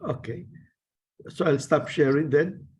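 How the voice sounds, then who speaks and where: A male priest moderates an online gathering, giving calm, unhurried cues. His voice is dark and husky, and he speaks slowly. The video call audio is dull and lo-fi. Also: a little high.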